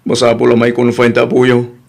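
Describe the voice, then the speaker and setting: A man's voice: mid-range voice, monotone, normal speed, creaky, darkish tone, natural voice. A man acting a weary, earnest husband and father in an audio drama, with an Ilocano accent and a plaintive way of talking. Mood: worried, grateful.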